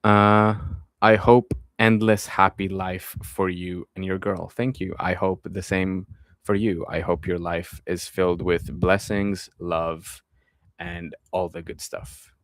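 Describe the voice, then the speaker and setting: Deep-voiced young man chatting on stream. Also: slow pace, no echo. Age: 20s. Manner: reads viewer comments aloud and answers them, drifting back to his topic; relaxed, friendly, often trails off with fillers. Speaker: live streamer. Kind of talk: casual live-stream chat.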